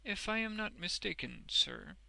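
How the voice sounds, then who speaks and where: A man's voice: sits high, very animated, measured pace, vocal fry, sharp tone, no echo, voice pushed up, full character voice, poor audio. A man voicing a smug, obsequious street ballad seller in a storybook, deferential yet self-important.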